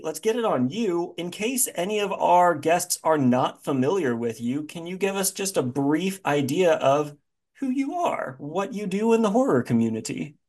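A man's voice: a little high, a little mellow. A man hosting a podcast in a casual, enthusiastic and admiring way. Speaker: podcast host. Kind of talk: casual chat.

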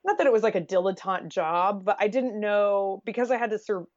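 A woman's voice: mid-range voice, a little fast, a little mellow, no echo, muffled recording. An American woman telling stories casually as an interview guest, chatty and sprinkled with "like". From Oregon, United States.

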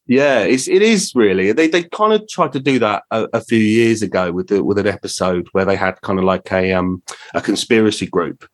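A man's voice: fairly low pitch, animated, moderate pace. A British man hosting a podcast in a casual, conversational interview style.

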